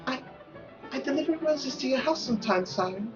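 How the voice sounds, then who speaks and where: A shy young peasant flower girl from a visual novel, acted by the narrator, speaks haltingly and deferentially. The voice is raised and timid. The audio is slightly muffled over faint music. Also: high-pitched, lively intonation, far from the mic, pitched above the performer's own voice. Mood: shy, flustered.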